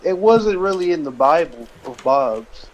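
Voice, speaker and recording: Male gamer, slightly higher register, casual group banter, rambling storytelling delivery. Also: animated, unhurried, a little hiss or hum, poor audio. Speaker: let's play gamer. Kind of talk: casual joking group chat while gaming.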